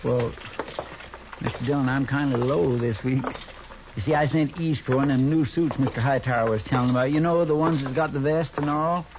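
An actor playing an earnest, folksy deputy sidekick who rambles a bit, in a 1950s radio drama. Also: male voice, medium pitch, fairly even intonation, fairly quick, lightly acted. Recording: dull lo-fi 1950s broadcast with hiss.

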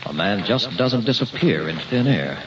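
An actor playing a cautious, uneasy scientist's associate in an old-time radio drama, speaking in wary, clipped lines. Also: male voice, on the low side, big pitch swings, moderate pace. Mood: tense. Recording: muffled, noisy vintage radio recording.

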